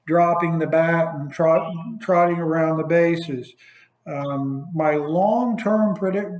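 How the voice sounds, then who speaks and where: Finance guy on a conference call, kinda high voice, lots of uhs and restarts, echoey room. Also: darkish tone. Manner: loose and unscripted, many "uh" fillers and restarts. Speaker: investment advisor. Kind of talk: conference call market outlook. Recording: roomy echo.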